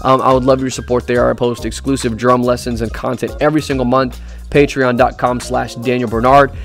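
A young man in a dark studio room, giving a casual review to camera, talking off-axis into a boom-arm condenser mic, with lots of ums and restarts. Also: little pitch movement, fairly quick, gravelly, soft background music. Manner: chatty, many ums and restarts. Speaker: drummer and gear reviewer. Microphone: USB condenser on a boom arm, speaking off-axis.

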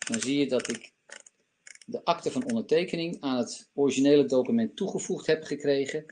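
A man in his fifties giving a live software demo and answering questions on a video call, talking with many fillers from a home office. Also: medium pitch, a little hiss or hum. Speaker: company presenter. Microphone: laptop webcam on video call.

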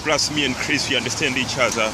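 A man in his thirties whose first language is Swahili, vlogging slowly with many pauses while walking a rainy city street, phone held close. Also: medium pitch, fairly even intonation. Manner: slow, searching, with many pauses and fillers. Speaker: singer vlogging a studio trip. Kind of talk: casual vlog narration. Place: rainy city street outdoors, under an umbrella. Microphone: phone held close below the face. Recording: loud street and traffic noise.

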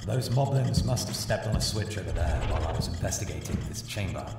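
A man's voice: deep voice, lively intonation, quick pace, vocal fry. A man playing a brave, defiant adventurer ally in a fantasy audio drama, commanding and dramatic. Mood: explaining. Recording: distant echoey hall, loud music. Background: loud soundtrack music.

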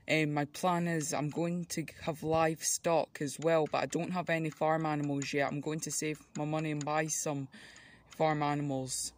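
A man's voice: on the high side, slight background noise, close to an everyday voice. A young man voicing a cheerful, boastful toy plumber who shows off his farm, rambling and chatty, filmed on a phone in a back garden. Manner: rambling, chatty, show-and-tell. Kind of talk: toy play video narration. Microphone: phone held by the filmer.

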